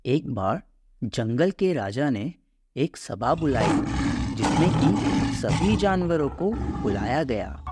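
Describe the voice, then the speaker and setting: A man's voice: medium pitch, sing-song, slow, very noisy. A man narrating a scripted children's story as the storyteller.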